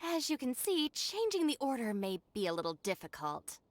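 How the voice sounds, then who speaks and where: An actress playing a composed, weary young woman in a video game, measured and dry. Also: fairly high pitch, big pitch swings, dry recording. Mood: exasperated.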